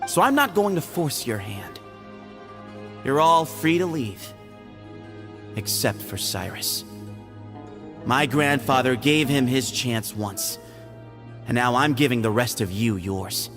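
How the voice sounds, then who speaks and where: A young heir to power in a fantasy game. He is shrewd and self-assured, with a mid-pitched voice that moves freely up and down. Faint music sits behind him. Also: a bit bright. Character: shrewd young male heir to a temple leader.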